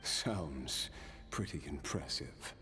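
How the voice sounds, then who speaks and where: A man voicing a weary, regretful yet proud immortal warrior in video game dialogue, speaking reflectively and haltingly. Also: rumbling low, animated, music in the background, exaggerated voice. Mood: wry. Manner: slow, reflective, halting, soft chuckles.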